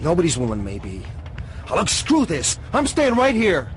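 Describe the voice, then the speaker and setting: An actor playing a cynical, desperate young hacker in a radio drama, informal, streetwise and emotionally raw. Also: male voice, a little high, wildly swooping pitch, normal speed, slight background noise. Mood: sullen, uneasy.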